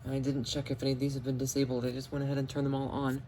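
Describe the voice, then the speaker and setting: A man talks casually through a product demonstration like a hobbyist showing off his finds. It is a home video with clear background noise, picked up on a camera microphone indoors. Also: mid-range voice, monotone, racing. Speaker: video host, hobbyist collector.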